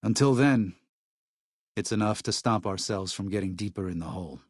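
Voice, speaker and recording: A man's voice: on the low side, moderate pace, no room sound, studio quality, lightly acted. An actor playing a devout, weary missionary man in a video game, speaking with earnest gravity. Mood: weary, regretful. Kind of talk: video game character dialogue.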